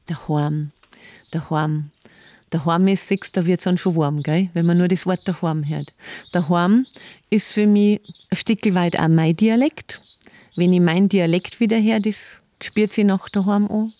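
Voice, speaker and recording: A woman, a city tour guide talking in a relaxed podcast interview, chatty and warm, often laughing and repeating words. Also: on the low side, unhurried.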